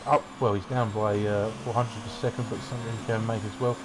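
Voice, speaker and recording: A man commentates a sim racing qualifying session in a relaxed, meandering way, with self-deprecating asides. His voice sits on the low side. A clear hum of background noise runs under the recording. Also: quick pace. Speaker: race commentator.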